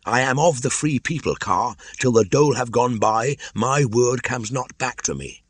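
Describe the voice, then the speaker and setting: A man's voice: medium pitch, normal speed, dry recording, pitched above the performer's own voice, close to an everyday voice. A man voicing a proud young man raised by wolves in a storybook, earnest and declamatory in archaic phrases. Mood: proud, urgent.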